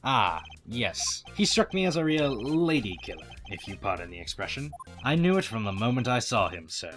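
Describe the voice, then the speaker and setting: Obsequious, swooping, morbid hotel bellboy. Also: male voice, medium pitch, big pitch swings, a bit bright, faint music behind. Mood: smug, sinister. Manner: obsequious, theatrical, drawn-out phrasing. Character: eager, morbid, self-important male hotel bellboy. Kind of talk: fan-dub visual novel character acting.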